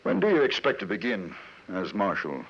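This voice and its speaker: An actor playing a polite English newspaperman in a 1950s radio western, speaking and narrating in a measured, understated British accent. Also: deep voice, wildly swooping pitch, husky, full character voice. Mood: polite, reserved. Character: courteous, observant English newspaperman travelling the frontier. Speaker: narrator and lead. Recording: muffled radio recording with music.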